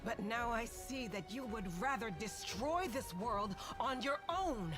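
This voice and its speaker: A betrayed, defiant game heroine, played by a woman, with a high voice. She accuses in a slow, dramatic, resolute way over clear music. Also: lively intonation. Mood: betrayed defiance. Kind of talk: fantasy game voice acting. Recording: music behind the voice.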